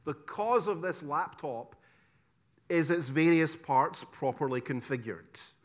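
A middle-aged Scottish man giving a theology lecture, stressing key words loudly, dropping to quiet asides and asking rhetorical questions. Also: a little high, lively intonation, slow. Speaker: preacher teaching theology.

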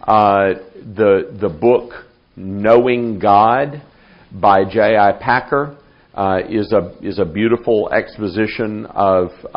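Measured low-voiced man answering questions. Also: muffled recording. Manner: measured, unhurried, many fillers and restarts; loud projecting voice. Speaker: theology professor answering questions. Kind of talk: live question-and-answer talk.

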